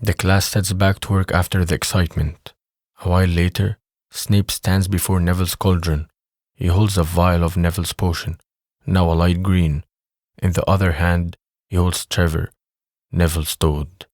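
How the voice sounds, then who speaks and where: A man's voice: sits low, level tone, moderate pace, a little mellow, no room sound, clean studio sound. A man reading scripted third-person narration for an audio drama.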